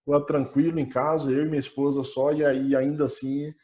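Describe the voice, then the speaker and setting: A man's voice: mid-range voice, level tone, fairly quick, warm tone. A man giving a casual personal account, hesitant, repeating words with a mild stutter. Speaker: coaching client. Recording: dull lo-fi online call audio.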